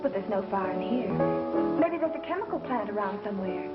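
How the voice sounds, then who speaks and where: An actress playing a bold, quick-witted young woman sleuth in a theatrical 1930s film style, wry and given to melodrama. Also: medium pitch, expressive, natural voice. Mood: coy, mock-innocent. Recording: dull 1930s film soundtrack with music.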